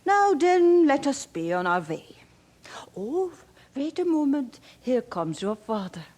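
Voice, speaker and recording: A woman's voice: high voice, big pitch swings, slow, darkish tone, exaggerated voice. A woman acting in a radio drama as a stern, industrious mother of a poor family, commanding and formal in phrasing. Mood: brisk, businesslike.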